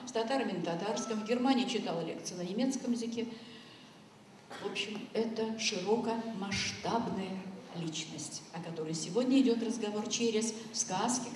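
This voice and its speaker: A woman's voice: medium pitch, a little mellow. A woman from Chuvashia giving an informal, heartfelt commemorative speech to an audience, wandering between thoughts and addressing her listeners directly. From Chuvashia, Russia. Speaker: guest speaker at a school commemoration. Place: school hall stage, indoors. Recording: very echoey hall, distant mic, faint noise.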